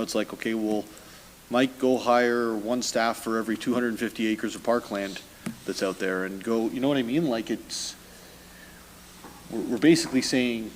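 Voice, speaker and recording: Halting man chairing a council debate. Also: on the low side, in a large hall, slight background noise, low-quality recording. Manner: hesitant, repeating words, wry. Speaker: mayor chairing a meeting. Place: council chamber.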